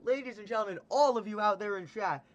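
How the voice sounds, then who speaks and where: High-voiced hyped arena announcer man. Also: dull audio. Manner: booming, drawn-out hype calls. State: losing his voice. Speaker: monster truck event announcer for a simulated show.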